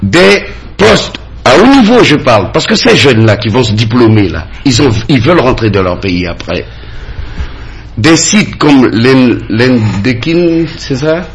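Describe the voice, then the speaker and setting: A husky man, making his case as a candidate in a radio campaign debate. His pitch swings widely as he talks, and he keeps hammering his points with repeated phrases. It sounds like a radio studio. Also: big pitch swings, measured pace. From French Guiana. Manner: insistent, emphatic, repeats phrases to drive points home.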